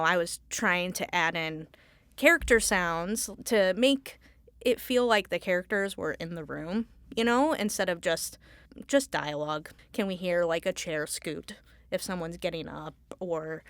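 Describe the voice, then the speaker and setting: A woman speaking as a guest in a casual interview, informal and halting as she thinks aloud. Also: lowish voice, a bit bright, clean tone, no echo. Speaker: Foley artist, interview guest.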